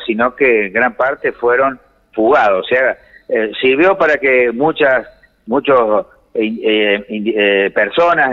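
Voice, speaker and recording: Argentine male interview guest, medium-pitched, measured, word-searching delivery, reverberant telephone recording. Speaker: economics commentator, phone guest. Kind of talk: explanatory radio-style interview answers. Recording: reverberant phone call feed.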